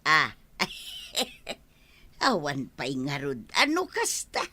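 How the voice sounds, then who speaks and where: An actress plays an older village woman with an Ilocano accent. She is a gossip and a tease, with a bright voice that leaps about in pitch and exclaims often, as in a radio-style play. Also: huge pitch swings, very slow, heavily acted. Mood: teasing. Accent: Filipino, Ilocano. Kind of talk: audio drama acting.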